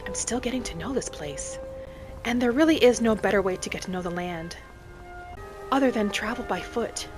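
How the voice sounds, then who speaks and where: Soft, musing woman roleplaying an elf adventurer. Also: medium pitch, expressive, normal speed. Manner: soft, musing, speaking thoughts aloud. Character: gentle, hopeful young female wood elf adventurer. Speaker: roleplay gamer narrating in character. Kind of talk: in-character game roleplay narration. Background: music.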